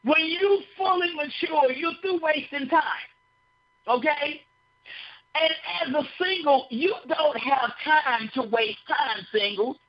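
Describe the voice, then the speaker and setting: A woman's voice: sits high, slow. A woman giving a conversational sermon on a broadcast, folksy and full of repeated phrases, often checking in with listeners. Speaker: radio speaker on behavior. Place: radio studio. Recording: dull lo-fi broadcast sound.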